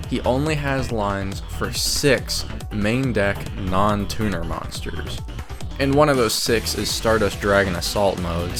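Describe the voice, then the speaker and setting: Low-pitched man, wry commentary over music. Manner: opinionated, wry. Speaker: video creator narrating. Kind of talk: scripted commentary on a ranking. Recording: music behind the voice. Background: game music.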